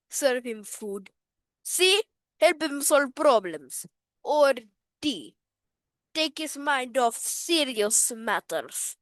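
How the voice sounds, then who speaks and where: A woman doing a sing-song put-on Indian accent, reading out quiz questions in a slow, unhurried way. Her voice sits fairly high and swoops up and down a lot as she goes. The delivery is playful and exaggerated. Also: a bit bright, no echo, heavily acted, rough recording. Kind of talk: reading multiple-choice questions aloud.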